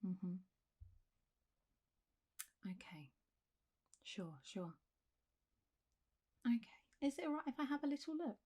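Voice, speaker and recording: A woman playing a calm, reassuring doctor in a soft-spoken ASMR roleplay, gently drifting in and out of whispers. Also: on the high side, slow pace, pauses often, full character voice. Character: calm, reassuring female clinic doctor for zombie patients. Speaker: ASMR performer.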